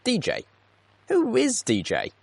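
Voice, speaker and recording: Man voicing an earnest young pony mare, expressive. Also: high-pitched, takes it slowly, creaky, husky, no echo, pitched above the performer's own voice, full character voice. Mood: curious. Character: young female pony heroine, puzzled and earnest.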